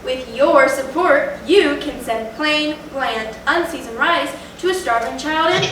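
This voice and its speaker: A woman's voice: sits high, measured pace, a little hiss or hum. A woman playing a mock radio ad reader and spooky minion in a live readers theatre comedy. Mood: mock earnest. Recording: very echoey theater, distant, loud music.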